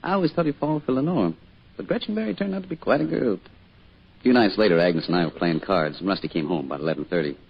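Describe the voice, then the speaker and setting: Folksy deep-voiced American dad reminiscing. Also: male voice, expressive, fast, gravelly, husky, heavily acted. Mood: wistful, fond. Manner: folksy, plainspoken storytelling. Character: fond, easygoing small-town druggist father, reminiscing. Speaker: narrator and father character. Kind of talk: old-time radio drama acting. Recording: dull, noisy old radio recording.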